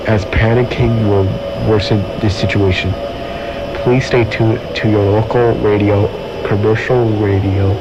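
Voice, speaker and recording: Low, flat emergency announcer played by a man. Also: lightly acted. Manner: formal, flat reading. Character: grave male emergency alert announcer in disaster scenario. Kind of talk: scripted emergency broadcast announcement. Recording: dull lo-fi audio with loud noise.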